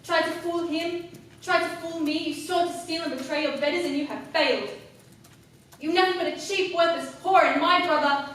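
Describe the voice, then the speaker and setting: A coldly self-possessed, cunning woman played by a young student actress delivers a stage monologue in a highish voice. Her delivery is formal, deliberate and dramatic. It is captured from a distance in a very echoey room with clear background noise. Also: close to an everyday voice. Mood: furious, scornful. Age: young adult. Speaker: student actor. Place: classroom performance in front of class.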